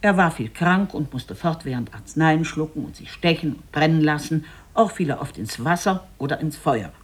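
A storyteller narrating a literary fairy tale in a deliberate, dramatic and expressive way. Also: medium pitch.

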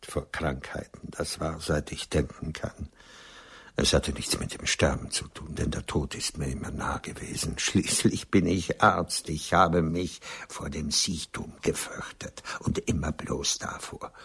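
A frail old physician and writer in a radio play, with an extremely deep voice full of creak and very husky breath. He speaks haltingly, weary, melancholy and resigned. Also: a bit bright, exaggerated voice. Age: older. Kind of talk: German radio drama acting.

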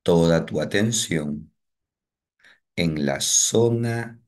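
A man leading a guided morning meditation, giving calm, repetitive breathing instructions with long pauses. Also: low-pitched.

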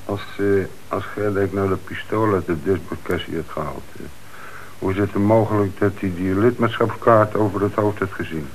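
Detective, male actor: deep, thoughtful, probing delivery for an old radio play, audible hiss. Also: moderate pace, close to an everyday voice, low-quality recording. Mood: puzzled, pondering. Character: questioning, deliberate male police detective. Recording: hissy old radio recording.

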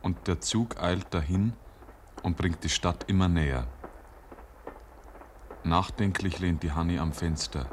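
Narrator, low voice, earnest literary storytelling, noisy vintage radio recording. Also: male voice, measured pace, long pauses. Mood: solemn, descriptive. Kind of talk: scripted dramatic narration, 1950s radio.

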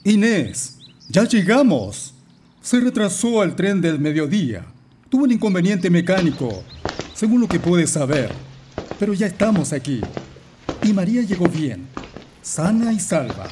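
Man acting as animated family patriarch. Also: high voice, a little slow. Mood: matter-of-fact. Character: stern but reassuring father, railway worker and patriarch. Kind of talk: radio drama acting. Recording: noisy, clinking dishes and clicks.

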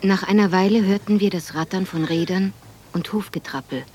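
A woman's voice: mid-range voice, fairly even intonation, moderate pace, a little mellow, a little hiss or hum, lightly acted. A woman acting as a grown narrator who recalls her childhood in a radio drama. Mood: recollecting.

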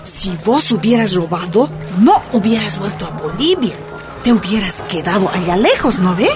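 A woman's voice: mid-range voice, big pitch swings, normal speed, close to an everyday voice. An actress playing a loyal woman comforting a wronged friend in a radio drama. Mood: indignant, consoling. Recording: music behind.

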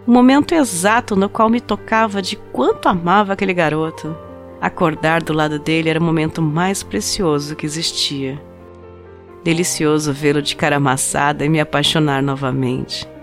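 A woman's voice: sits low, moderate pace. A woman acting a heartbroken young woman who tells the story of her lost love in an intimate, confessional way. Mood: longing, intimate. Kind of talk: dramatized first-person short story narration in a podcast. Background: music under the voice.

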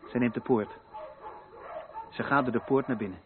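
A man's voice: mid-range voice, normal speed, noisy background, natural voice. An actor playing a sceptical, down-to-earth man in a Dutch radio drama, plain-spoken and reflective. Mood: resigned, watchful. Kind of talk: Dutch radio drama acting, interior monologue. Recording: tinny band-limited old radio play recording.

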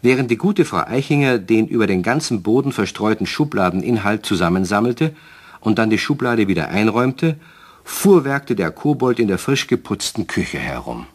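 A man's voice: lowish voice, very animated, normal speed. A man narrating a German children's radio play as a scripted storyteller.

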